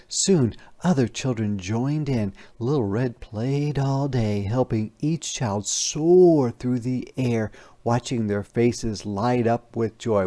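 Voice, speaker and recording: Slow, gravelly man reading a storybook. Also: medium pitch. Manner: expressive, pausing to explain words. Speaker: teacher reading aloud. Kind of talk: interactive children's storybook read-aloud.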